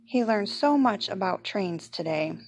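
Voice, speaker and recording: A woman reading a children's picture book aloud in an expressive storytelling manner. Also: mid-range voice, measured pace, faint music behind. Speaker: storybook reader.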